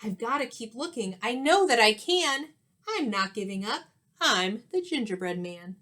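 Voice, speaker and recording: Woman reading as a plucky high-pitched gingerbread boy. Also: lively intonation, a little slow, sharp tone. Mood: determined. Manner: sing-song, exclaiming. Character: plucky little gingerbread boy, eager and determined storybook hero. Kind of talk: children's storybook read-aloud.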